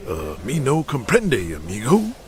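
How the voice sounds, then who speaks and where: A man's voice: medium pitch, wildly swooping pitch, a little slow. A man voicing a smug, swaggering 1980s pro wrestler in an audio drama, with a dismissive manner. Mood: teasing.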